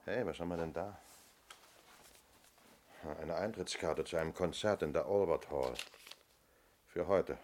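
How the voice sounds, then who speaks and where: Low-voiced, probing police inspector. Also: male voice, a little mellow. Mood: musing. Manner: probing, hesitant lead-ins, measured. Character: methodical male police inspector questioning people. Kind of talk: 1970s German radio play acting. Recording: noisy old radio play recording.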